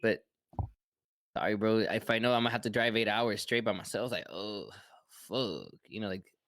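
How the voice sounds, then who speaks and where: A man's voice: on the low side, moderate pace, a bit bright, no room sound. A man co-hosting a fan podcast, chatting casually, rambling and swearing as he jokes. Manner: rambling, profane, joking. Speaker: podcast co-host. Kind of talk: casual chat.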